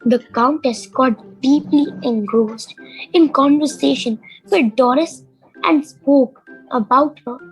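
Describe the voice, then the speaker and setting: A woman narrator tells a scripted radio drama. The voice is high with a creaky edge, unhurried, with faint music under it. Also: rough recording.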